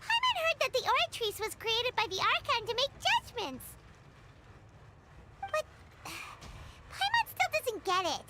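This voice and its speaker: A woman voicing a bubbly, curious, childlike fairy companion in a video game, excitable in manner. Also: very high voice, a little slow, long pauses, heavy background noise, full character voice. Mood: curious, puzzled. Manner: excitable, speaks of herself in third person. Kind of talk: video game character dialogue. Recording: game music behind the voice.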